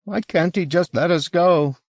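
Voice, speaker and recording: A man's voice: sits high, sing-song, measured pace, a little mellow, no echo, voice pushed up, heavily acted. A man voicing a wretched, suspicious young educated Englishman for an audiobook, agitated and pleading, with an English accent. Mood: anguished pleading. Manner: agitated, pleading, breathy protests. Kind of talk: audiobook character acting.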